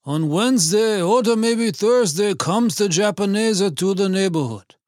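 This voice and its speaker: Seeking a man to act a complacent old immigrant husband, high pitched, Yiddish-American inflection, shrugging off everything, for storybook narration. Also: expressive, measured pace, a little mellow, dry recording, clean studio sound. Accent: Yiddish-inflected American English. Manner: complacent, dismissive, roundabout. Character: indifferent, grumbling old retired husband.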